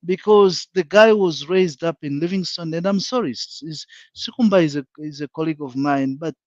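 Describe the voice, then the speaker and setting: A man's voice: on the high side, moderate pace, a little mellow, no echo, muffled recording. A man with a Zambian accent, a panel guest speaking frankly and emphatically in a live online discussion, laying out his points one by one.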